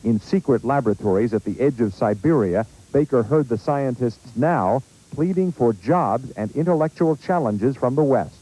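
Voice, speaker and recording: A man's voice: mid-range voice, expressive, normal speed, warm tone, husky, low-quality recording. A man with an American accent narrating a scripted news report as a correspondent.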